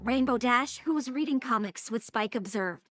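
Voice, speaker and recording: A woman's voice: fairly high pitch, normal speed, music in the background. A woman narrating a scripted story as the narrator of an audio drama. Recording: clearly noisy background.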